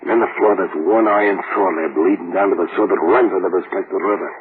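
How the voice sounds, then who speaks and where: An actor playing a streetwise death-row convict who talks in tough slang, in old radio drama style. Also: male voice, sits low, steady tone, quick pace, lightly acted. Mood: scheming. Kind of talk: 1940s radio acting. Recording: tinny hissy old radio broadcast.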